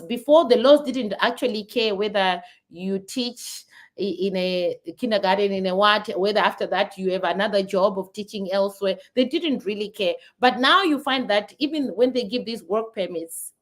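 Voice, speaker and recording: A woman's voice: medium pitch, normal speed. A woman in her thirties from Zimbabwe with a Zimbabwean accent, talking earnestly to her viewers in a casual vlog, often restarting and repeating words. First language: not English. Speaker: vlogger. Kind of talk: casual talk to camera.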